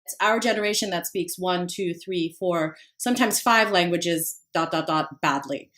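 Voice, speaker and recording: A woman's voice: on the low side, normal speed, a bit bright. A young woman co-founder talking frankly and passionately in casual conversation, swearing now and then. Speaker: co-founder of the platform.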